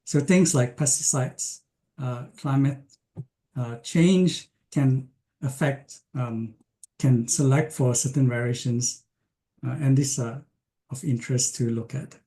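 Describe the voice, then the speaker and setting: A man from Malaysia giving an online science lecture, speaking with frequent ums, pauses and restarts. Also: medium pitch, dark tone. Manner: hesitant, many ums and restarts.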